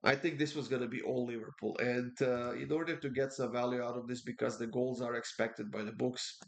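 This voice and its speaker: A man's voice: medium pitch, fairly even intonation, vocal fry. A man with a congested voice from a cold, giving casual soccer betting picks, with lots of fillers and repeats. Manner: halting, many uh fillers and repeats. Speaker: sports betting tipster. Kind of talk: casual betting preview talk.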